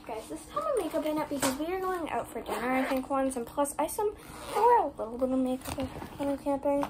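Young girl vlogger, high voice, rambling chat full of fillers, phone camera in a bedroom with noisy background hiss. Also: animated, quick pace. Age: 11, child. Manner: rambling, chatty, many "like" fillers. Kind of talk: casual vlog chat. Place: bedroom, indoors. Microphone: phone camera on desk. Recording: noisy room ambience.

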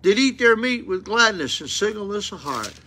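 An older man with a drawl preaches to a small church, recorded up close on a phone. His voice is high and very bright, and he throws out "huh?" and "you know what happened?" as he goes. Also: big pitch swings, moderate pace, slight background noise. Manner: folksy, rhetorical questions, loud unamplified voice. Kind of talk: informal sermon with Bible reading. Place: small church room, indoors. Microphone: phone camera close up.

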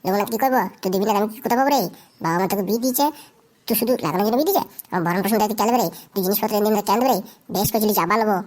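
A woman's voice: medium pitch, racing, a little mellow, natural voice. A woman voicing a scolding, crude young village wife in a comedy cartoon. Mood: angry, defiant. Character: angry, foul-mouthed young Bengali village wife.

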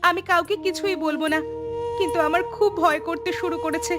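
A woman's voice: very high voice, fairly even intonation, a little fast, music in the background. A woman voicing a frightened, earnest young village wife in a horror cartoon. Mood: anxious.

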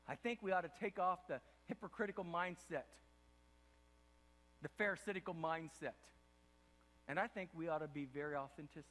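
An older man preaching a sermon as pastor from a church stage, impassioned, repeating himself and swinging from loud to hushed. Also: on the high side. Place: large dark church stage before an audience. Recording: long hall reverb of a big room.

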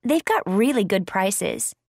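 A woman's voice: mid-range voice, huge pitch swings, a little slow, gravelly, no room sound, studio quality, heavily acted. An actress playing a cheerful, chatty woman in a scripted language-course dialogue. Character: cheerful, chatty woman sharing news with friends.

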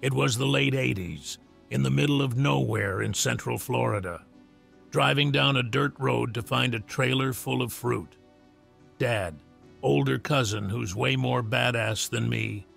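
A man reading out a story in measured narration, with a gravelly, husky voice. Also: mid-range voice, a bit bright, soft background music. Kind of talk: scripted narration of a reader story.

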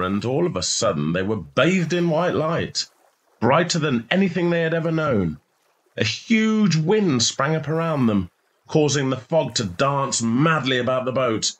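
A man reading a scripted short story as its narrator, in a measured storytelling manner. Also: medium pitch, a bit bright.

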